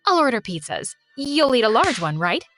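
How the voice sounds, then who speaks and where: A woman's voice: a little high, very animated, normal speed, a bit bright, faint music behind. A woman putting on a vain ex-model mother. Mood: dismissive. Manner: dismissive, condescending. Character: vain middle-aged mother, former model, self-centered.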